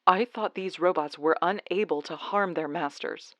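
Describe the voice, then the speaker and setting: A woman's voice: lowish voice, moderate pace, fairly bright tone, no echo, lightly acted. A woman playing an anxious, loyal young adult daughter in an old-time radio drama, earnest and dramatic. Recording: dull, dry lo-fi radio recording.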